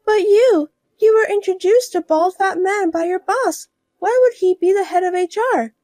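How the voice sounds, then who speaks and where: A woman's voice: extremely high pitch, moderate pace, warm tone, dry recording, studio quality, voice pushed up. A woman voicing a pushy, scheming young woman who whines and pleads. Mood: shocked. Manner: whiny and pleading. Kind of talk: storybook voice acting.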